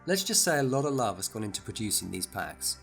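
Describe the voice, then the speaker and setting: A man's voice: fairly low pitch, big pitch swings, fast talker, vocal fry, a bit bright, faint music behind. Man narrating a product promo. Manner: enthusiastic, explanatory.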